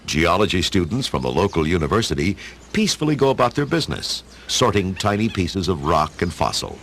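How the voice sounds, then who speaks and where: Very deep man, theatrical storyteller, animated delivery, dramatic scripted narration. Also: a little fast. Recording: music under the narration. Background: music.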